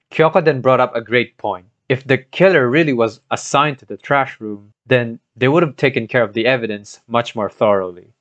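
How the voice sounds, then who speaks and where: A young man with a Filipino accent narrates a game playthrough from a script, with casual asides. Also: medium pitch. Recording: dull scuffed mic, music behind.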